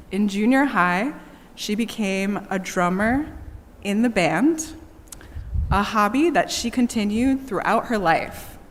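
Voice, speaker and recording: Woman, unhurried eulogy reading, measured pauses, church sanctuary with long echo. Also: mid-range voice. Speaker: daughter giving a eulogy. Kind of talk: prepared memorial eulogy. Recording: long church reverb, faint background hiss.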